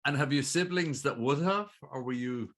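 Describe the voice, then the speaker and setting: A man's voice: medium pitch, moderate pace, a bit bright. A man with an Irish accent hosting an interview, asking long, rambling questions in a chatty way. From Ireland. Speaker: interview host. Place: video call.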